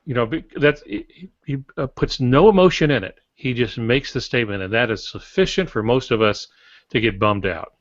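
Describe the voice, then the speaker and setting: A man's voice: mid-range voice, normal speed, no echo. Older man, dull lo-fi call audio. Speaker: podcast co-host and writer. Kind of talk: casual instructional discussion. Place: small indoor home office. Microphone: video call.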